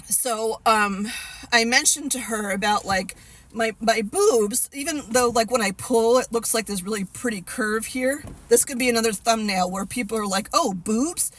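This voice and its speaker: A woman's voice: mid-range voice, fairly bright tone. A woman in her fifties talking casually to the camera, candid and rambling with many fillers and restarts. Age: 50s. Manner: candid, laughs at herself. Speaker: vlogger.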